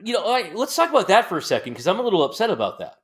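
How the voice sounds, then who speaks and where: A man's voice: high-pitched, huge pitch swings, rapid-fire, fairly bright tone, breathy edge. An American man hosting a podcast, chatting casually with joking banter. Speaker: podcast host. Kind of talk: casual interview chat.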